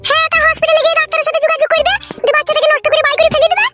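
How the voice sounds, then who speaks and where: A woman voicing a sharp-tongued, quarrelsome village wife in a comedy cartoon. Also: little pitch movement, racing, loud music behind the voice. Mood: nagging. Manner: shrill, cheeky, quarrelsome. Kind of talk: Bengali comedy cartoon dubbing.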